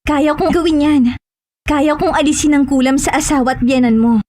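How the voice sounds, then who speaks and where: A woman's voice: high voice, fairly even intonation, normal speed, a little mellow, soft background music, lightly acted. A woman playing a stern, self-assured eighteen-year-old healer in an audio horror drama. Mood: firm.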